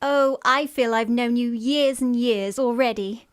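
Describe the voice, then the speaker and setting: Radio farce: actress as trusting, sincere young lady of Victorian times, very high pitch, gushing and polite. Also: a little slow, dry recording, clean studio sound, lightly acted. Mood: earnest, admiring.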